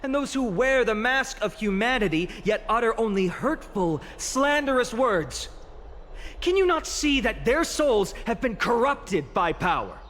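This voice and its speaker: Brief: earnest young male champion, high, unhurried solemn oratory, long hall reverb, soft score behind. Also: very animated, a little hiss or hum, exaggerated voice. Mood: solemn rousing. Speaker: speaker addressing an assembly. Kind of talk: video game voice acting.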